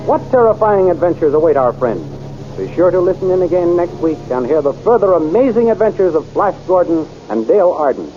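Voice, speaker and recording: 1930s radio announcer, male, bright high voice, hard-sell pitch, dull recording with music underneath. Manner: enthusiastic, salesy.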